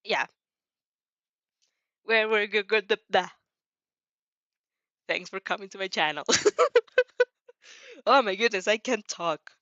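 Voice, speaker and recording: A giggly, excitable woman reading fan fiction aloud and breaking off for casual comments. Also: mid-range voice, takes it slowly, pauses often, no room sound, highs cut off. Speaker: channel host and fanfic reader.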